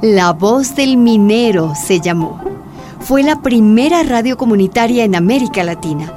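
A woman's voice: on the high side, expressive, normal speed, over music, lightly acted. A woman performing as the serious narrator of a historical radio drama, delivering scripted documentary narration. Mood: serious, grave.

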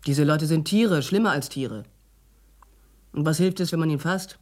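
A woman's voice: low-pitched, moderate pace, darkish tone. Bitter, edgy middle-aged woman. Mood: bitter, angry. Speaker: radio drama actor. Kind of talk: German radio drama acting.

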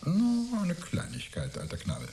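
An actor playing a cocky, jovial astronaut in a radio play, talking in a chummy, mocking, theatrical way. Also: male voice, high-pitched, wildly swooping pitch, unhurried, warm tone, a little hiss or hum, heavily acted. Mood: wheedling, breezy. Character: cocky, jovial male astronaut, wheedling old war buddy.

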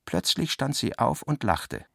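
Guy narrating a literary radio drama, creaky voice, very dry studio sound, measured delivery. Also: mid-range voice, big pitch swings, no room sound. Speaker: narrator, reader of literary text and notes.

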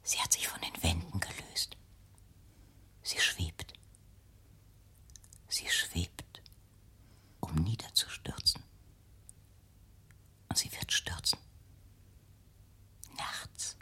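An actress in a radio play playing an anxious, controlling mother, hushed and insinuating, with a husky, breathy voice. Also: extremely low pitch, fairly even intonation, drawn-out words, pauses often, whispered, exaggerated voice. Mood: eerie, conspiratorial.

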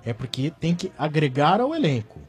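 A man's voice: a little high, very animated, moderate pace, soft background music. A man commenting on football on a sports radio show, laying out his opinions in short points. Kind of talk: casual sports radio chat.

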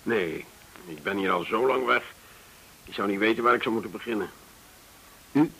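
A man's voice: fairly low pitch, normal speed, vocal fry, bright, forceful tone, rough recording. An actor playing a determined, inquisitive man in a Dutch radio drama, with a direct, questioning way of talking. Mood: curious. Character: determined, inquisitive man resolved to confront an enemy. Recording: hissy old radio recording.

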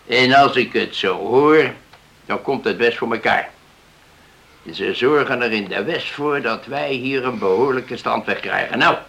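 Creaky, gruff-mannered village husband. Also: male voice, mid-range voice, bright, forceful tone. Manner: blunt, dismissive. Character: grumbling, opinionated village husband, dismissive of women. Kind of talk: Dutch radio drama acting.

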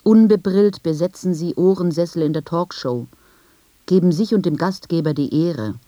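A woman reads scripted, first-person literary narration in a measured, reflective manner with deliberate phrasing. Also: lowish voice, warm tone, no echo. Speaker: narrator of a literary radio piece.

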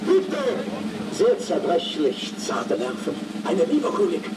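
A man's voice: fairly high pitch, big pitch swings, slow pace, heavy background noise. An actor playing a flustered, theatrical male circus ringmaster in a radio play, nervously imploring a restless audience. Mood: nervous pleading. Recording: roomy, distant, loud crowd behind.

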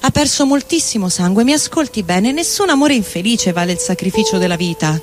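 A woman's voice: medium pitch, lively intonation, brisk, close to an everyday voice. A woman voicing a grave male head physician, formal and admonishing, in a dramatic reading. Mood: stern concern. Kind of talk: dramatic reading over music.

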